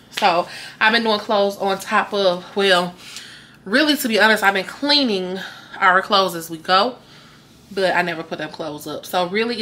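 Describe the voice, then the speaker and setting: A woman's voice: mid-range voice, pushed and bright, a little hiss or hum. A young woman, chatting casually to the camera at home at night, tired and rambling, often trailing off to herself. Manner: tired, rambling, talks to camera and her dog. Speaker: vlogger. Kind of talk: casual vlog chat.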